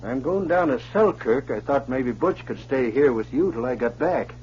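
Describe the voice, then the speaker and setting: A man's voice: medium pitch, expressive, moderate pace, darkish tone, natural voice. Scheming frontier prospector, male actor, folksy and insistent, vintage radio drama delivery, lo-fi hissy recording. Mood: friendly, concerned. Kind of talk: 1940s radio acting.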